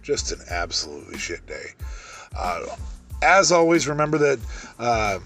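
A man with a deep voice hosting a solo podcast, rambling through a wrestling review with many ums and restarts. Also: sing-song, normal speed, vocal fry, a bit bright, faint music behind. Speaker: podcast host.

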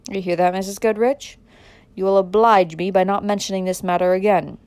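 A woman's voice: on the low side, steady tone, moderate pace, darkish tone, no echo, natural voice. A woman voicing a proud, stern older man, a domineering father with a stiff, commanding manner, in a storybook reading. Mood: angry, commanding.

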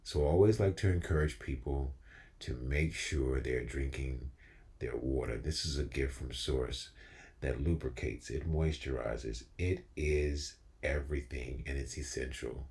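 A young man delivering an inspirational spoken-word monologue as a storyteller, with deliberate, measured phrasing and emphatic pauses. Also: rumbling low, a little mellow. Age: young adult. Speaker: featured storyteller. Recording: long hall-like reverb on the voice.

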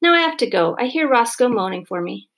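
A woman voicing a furious, accusing mother in a storybook, shrill, indignant and scolding. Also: big pitch swings, fairly quick, a bit bright, exaggerated voice. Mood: indignant, cold.

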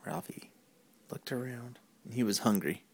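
A father narrating a playful family skit, jumping into high, exaggerated questions as he plays along. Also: moderate pace, gravelly, slightly rough. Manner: jumps into high, exaggerated questions when voicing his lines. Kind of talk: playful home-video narration.

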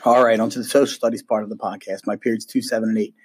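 Teacher guy w a cold, froggy hoarse voice, rattles off his weekly update, lots of ums. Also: fairly low pitch, fairly even intonation, very fast, very noisy. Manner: chatty, with frequent ums and restarts. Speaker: middle school social studies teacher. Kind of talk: weekly podcast update for families.

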